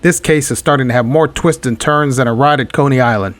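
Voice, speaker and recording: An actor playing a hard-boiled, wisecracking private detective in 1940s radio drama style, with a wry deadpan delivery. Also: male voice, medium pitch, fairly quick, no echo, natural voice. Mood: defensive.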